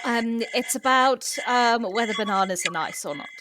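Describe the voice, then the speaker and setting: A woman's voice: on the high side, normal speed, noisy background, pitched below the performer's own voice, natural voice. A woman playing a hapless, bemused office temp in an improvised comedy audio drama. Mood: hesitant, bemused. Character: hapless female office temp reading silly questions aloud.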